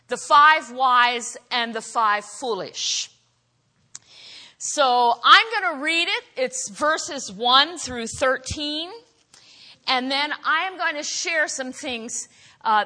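A woman preaching a sermon in a church, with a naturally loud, earnest voice that she says hardly needs the microphone. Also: high voice. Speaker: guest preacher. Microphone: handheld or stand microphone. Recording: church hall reverb.

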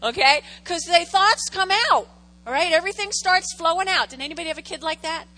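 A woman's voice: very high voice, fairly quick, bright, forceful tone. A woman pastor preaching a sermon in a conversational way, repeating key phrases for emphasis and making jokey asides to the congregation.

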